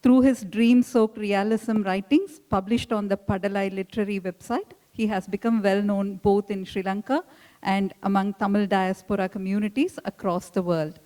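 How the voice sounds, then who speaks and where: A woman hosting a book club event, reading out a measured introduction of the next speaker. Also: mid-range voice, steady tone, dark tone, low-quality recording.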